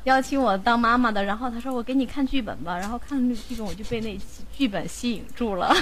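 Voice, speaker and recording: A woman's voice: fairly high pitch, quick pace, noisy background. An older woman chatting casually as a guest, rambling and questioning herself as she goes. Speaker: amateur voice actress, guest. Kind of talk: casual chat.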